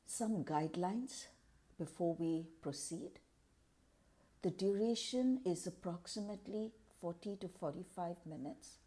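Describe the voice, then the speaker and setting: A woman leading a guided meditation in a calm, measured, deliberate way, pausing between short phrases. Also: fairly low pitch.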